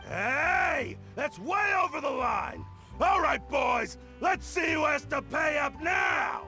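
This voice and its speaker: A man playing a brash, sneering street thug in a video game, loud and blustering. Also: extremely high pitch, animated, takes it slowly, creaky, over music, heavily acted. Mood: angry. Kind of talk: video game villain dialogue.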